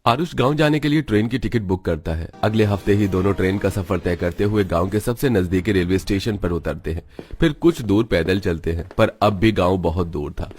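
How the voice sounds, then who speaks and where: A man's voice: low voice, brisk, a little mellow, soft background music. A man reading scripted narration for a cartoon story.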